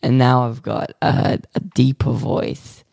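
A man co-hosting a podcast, rambling through absurd improvised stories in a deadpan way with lots of fillers. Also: medium pitch, gravelly, no echo, clean studio sound. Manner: slow, rambling, deadpan nonsense with many fillers. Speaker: podcast co-host.

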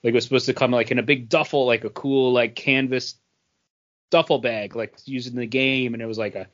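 A middle-aged man co-hosting a podcast, chatting casually about pop culture in a rambling, halting way. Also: mid-range voice, fairly even intonation. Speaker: podcast co-host. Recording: dull lo-fi podcast audio.

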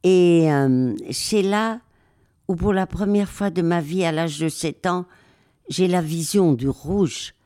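An older woman, a war photographer, answering interview questions slowly, with many pauses and hesitations. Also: on the low side, dark tone. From raised in Spain.

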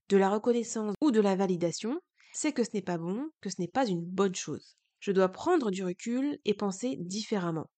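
A woman's voice: mid-range voice, darkish tone. A woman hosting a podcast, speaking candidly and earnestly in a reflective personal monologue, her voice changed by a cold. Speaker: podcast host.

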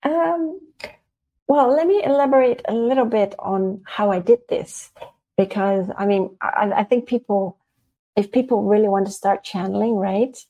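A woman from the Netherlands, telling personal stories in a casual, animated, halting way as an interview guest. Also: medium pitch, lo-fi sound. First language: Dutch. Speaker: interview guest, spiritual channeler. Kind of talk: casual conversational storytelling.

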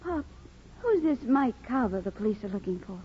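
An actress playing a soft-hearted, anxious young wife and mother in a 1950s radio drama. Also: a little high, sing-song, moderate pace. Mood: worried, domestic. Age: young adult. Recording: muffled old radio with hiss.

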